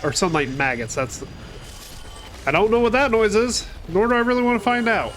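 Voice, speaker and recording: A man's voice: high voice, expressive, brisk, fairly bright tone, a little hiss or hum. A man with a cold giving casual, chatty commentary over his gameplay, swearing now and then and talking to enemies on screen. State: fighting a cold. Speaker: gaming channel host. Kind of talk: casual let's-play commentary.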